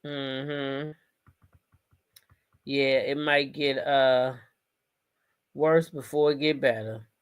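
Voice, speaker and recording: A woman's voice: rumbling low, steady tone, drawn-out words, pauses often, clean tone. An American woman doing casual live gossip commentary as host, sassy and emphatic, often repeating phrases for emphasis.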